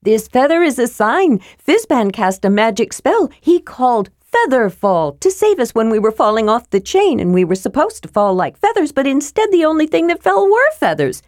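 A woman voicing a small, cheerful, innocent male kender in a fantasy storybook, shrill and eagerly rambling. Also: huge pitch swings, normal speed, darkish tone, dry recording, studio quality. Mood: earnest, hopeful. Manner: rambling, eager, breathlessly enthusiastic.

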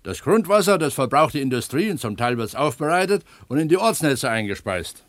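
An actor playing an eager, earnest pump attendant at an opencast mine in a naturalistic radio drama, explaining things like a tour guide. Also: male voice, fairly high pitch, sing-song, fairly quick, dry recording. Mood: explanatory.

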